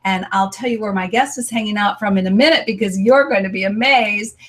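A woman's voice: medium pitch, brisk, fairly bright tone. An American woman hosting a live stream interview show, upbeat and promotional. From United States. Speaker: host.

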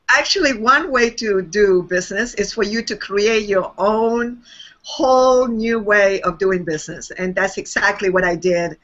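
A woman's voice: medium pitch, unhurried, a bit bright, highs cut off. A woman from Chile, a guest on an online show, telling her life story in a personal, reflective way. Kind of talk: interview storytelling.

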